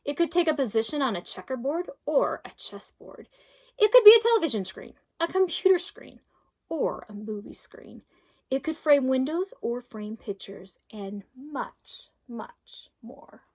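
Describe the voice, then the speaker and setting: High-voiced woman reading a children's storybook. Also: big pitch swings, unhurried, darkish tone. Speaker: teacher reading aloud to pupils. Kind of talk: expressive children's storybook read-aloud.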